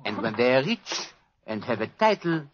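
An actor playing a gossipy, deferential French police inspector in 1940s radio style, with a French accent and a courteous, hesitant delivery. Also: male voice, mid-range voice, very animated, moderate pace, close to an everyday voice. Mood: sly, genial. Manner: hesitant, courteous, savouring words. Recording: muffled, faintly noisy old radio recording.

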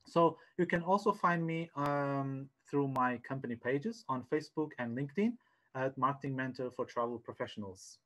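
A man, giving an informal online presentation as a sales coach in a hesitant, halting way, repeating words, on a headset. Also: medium pitch, fairly bright tone. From Portugal. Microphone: headset on a video call.

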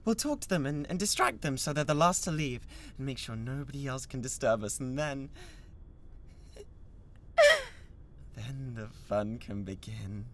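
A man's voice: mid-range voice, lightly acted. A British man playing an obsessive, lovesick young classmate with an awkward yet menacing manner, in a dramatic ASMR roleplay monologue. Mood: menacing, gleeful. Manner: halting, breathy laughs, swinging between shy murmurs and manic outbursts. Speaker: voice actor.

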